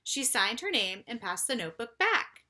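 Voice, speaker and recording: A woman reading a children's story aloud like a teacher, with deliberate, emphatic phrasing for young listeners. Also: a little high, very animated, bright, forceful tone.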